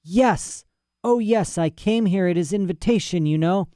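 A man's voice: sits high, a little slow, dark tone, no room sound, studio quality, pitched above the performer's own voice. A man voicing an indignant, rambling old male professor who is locked in a cell, ranting boastfully with "eh?" asides. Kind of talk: audiobook character voice.

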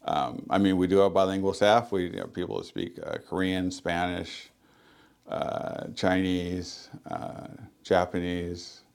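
A man with a fairly low voice talks as a guest on a radio interview. He is a librarian and speaks loudly, with many ums, restarts and friendly asides. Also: unhurried, creaky, clear, resonant tone. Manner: loud, chatty, many fillers.

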